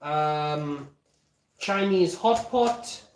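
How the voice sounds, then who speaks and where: A man's voice: a little high, animated, drawn-out words, noisy background. Rambling man losing his voice. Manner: rambling, frequent throat-clearing, easy laughter. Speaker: livestreaming home cook. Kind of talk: casual livestream chat. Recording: echoey room, mic at a distance.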